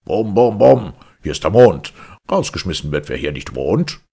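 A man voicing a grumpy, excitable, ancient little sandman in a storybook reading, blustering and bossy. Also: low-pitched, normal speed, creaky, husky, voice pushed up, full character voice. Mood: angry shouting.

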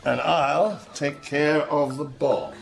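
Male captain for radio drama: cocky, taunting, unhurried, very varied intonation. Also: fairly high pitch, lively intonation, vocal fry, background noise, exaggerated voice. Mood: taunting, controlled. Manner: taunting, sardonic. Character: cocky, sardonic middle-aged male captain, defiant under threat.

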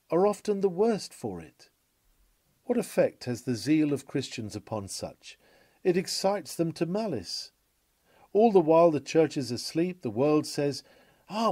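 Guy reading an old-style sermon, formal n measured, slightly high mellow voice, dry sound. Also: no room sound. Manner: formal, measured oratorical delivery. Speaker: reader of a historical sermon.

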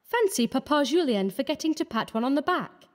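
A woman's voice: high voice, big pitch swings, moderate pace, a little mellow. A woman voicing a coquettish, sweetly malicious young Parisian lady in a storybook reading. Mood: amused, teasing. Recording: echoey hall-like reverb.

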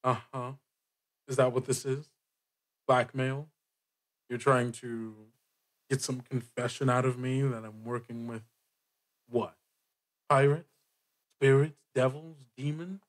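A man plays a proud man of the church in a roleplay podcast. His voice sits at a medium pitch. He is guarded and haughty, choosing formal words with deliberate care. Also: fairly even intonation, natural voice. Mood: scornful. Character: proud, guarded church dignitary, cold and imperious. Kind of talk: in-character tabletop roleplay.

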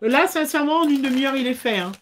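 A woman hosts a casual craft livestream from a small room. Her voice is high. She chats along with hesitant pauses, speaking into a device at arm's length. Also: a bit bright, a little hiss or hum.

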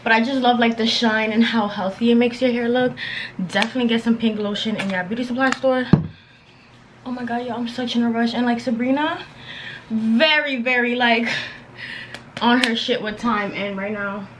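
A young woman talks to her camera in a bedroom with a somewhat high voice. Her delivery is casual, slangy and rambling, like an informal beauty vlog. There is a light layer of background noise. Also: steady tone, normal speed. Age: young adult, around twenties. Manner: slangy, rambling, filler-heavy with frequent "like". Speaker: beauty and lifestyle vlogger. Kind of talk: casual chatty get-ready-with-me vlog. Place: bedroom at home, indoors.

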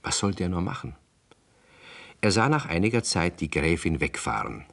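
Male storyteller, low-pitched voice, very varied intonation, scripted radio play narration. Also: very animated, moderate pace, gravelly, fairly bright tone.

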